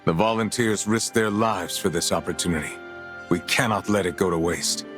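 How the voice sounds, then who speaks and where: An actor playing a grave veteran lord and military commander in a video game, speaking in a formal, measured way. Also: male voice, low-pitched, over music, lightly acted. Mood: grave, resolute. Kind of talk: dramatic video game cutscene acting.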